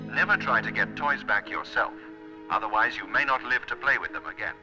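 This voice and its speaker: Actor as a sober radio newsreader over loud music. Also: male voice, lowish voice, a little fast, muffled recording, lightly acted. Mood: grave. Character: sober male radio news announcer. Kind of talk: scripted radio news bulletin. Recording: old film soundtrack, loud music.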